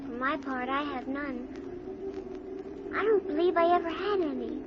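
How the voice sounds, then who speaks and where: Casting: actress as frightened little servant girl, very high meek voice, old radio drama, heavy recording noise. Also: moderate pace, muffled recording, lightly acted. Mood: meek, polite. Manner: polite, meek. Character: timid, frightened, obedient eight-year-old servant girl. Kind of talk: 1930s-40s radio drama acting.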